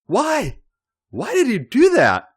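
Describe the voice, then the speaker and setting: Man playing young male wrestler, high-pitched, breathy, panting, flustered, overwhelmed, audio story. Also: huge pitch swings, takes it slowly, full character voice. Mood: urgent, confused. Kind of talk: dramatic audio fan fiction reading.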